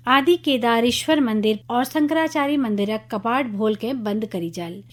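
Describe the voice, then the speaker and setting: High-voiced Kumaoni woman reading radio news. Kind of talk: scripted regional news bulletin.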